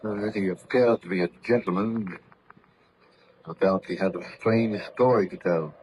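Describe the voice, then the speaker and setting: A man acting a calm, courteous and commanding ship's captain in an old-time radio drama, speaking formally and with measured authority. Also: low voice, natural voice. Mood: concerned, musing. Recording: muffled, noisy vintage radio recording.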